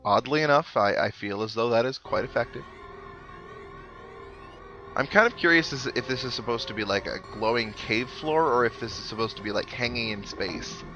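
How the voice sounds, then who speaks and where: A man's voice: mid-range voice, moderate pace, muffled recording. A man giving casual, dryly joking commentary as he plays a video game and reads its text aloud. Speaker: host of a video game playthrough. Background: video game music.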